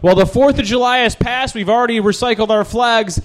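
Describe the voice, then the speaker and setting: A man hosting a live comedy show, playing a clueless American with a deadpan delivery. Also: very high voice, brisk. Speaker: show host.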